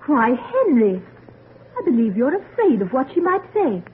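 An actress playing an eager, pampered young lady, well-bred and expressive, in an old-time radio drama. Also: sits high, moderate pace. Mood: pleading, teasing. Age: young adult. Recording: noisy, tinny old radio recording.

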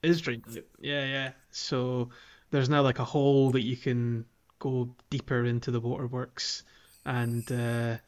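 A man's voice: mid-range voice, flat delivery, unhurried. A man co-hosting a podcast, casually talking through a video game in a conversational, somewhat hesitant way. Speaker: podcast co-host.

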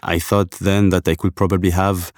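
A man from Algeria, a podcast guest in his thirties, speaking in a reflective, halting way with many restarts. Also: low voice, little pitch movement, darkish tone, dry recording, clean studio sound. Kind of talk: casual conversation.

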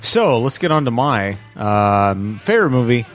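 A man's voice: medium pitch, sing-song, unhurried, soft background music. A man co-hosting a podcast in casual comic banter, joking and poking fun at himself. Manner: joking, self-mocking. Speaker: podcast co-host.

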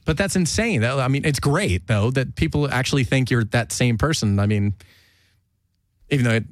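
A chatty man hosts a relaxed talk show, rambling along and restarting his sentences, with frequent laughs. The recording is dry, with no room echo. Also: mid-range voice, normal speed. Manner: rambling, self-interrupting, laughs often. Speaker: podcast host. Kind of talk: casual chat.

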